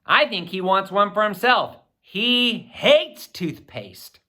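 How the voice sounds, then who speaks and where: A man narrates a children's story in the first person. His voice is bright and very lively, sweeping up and down in pitch with theatrical, expressive phrasing for young listeners. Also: takes it slowly. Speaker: audiobook reader.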